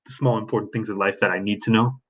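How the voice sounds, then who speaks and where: A man's voice: on the low side, steady tone, fast talker, over a noisy crowd. A man hosting a podcast, easygoing and curious, chatting casually with his guest. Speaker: podcast host. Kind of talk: casual interview chat.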